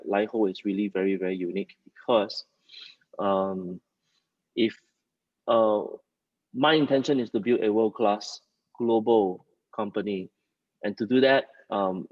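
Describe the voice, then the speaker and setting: A man presenting informally at a company meeting, pausing often mid-sentence as he speaks. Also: on the low side, no echo. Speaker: company leader hosting a staff townhall. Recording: dull, muffled lo-fi audio.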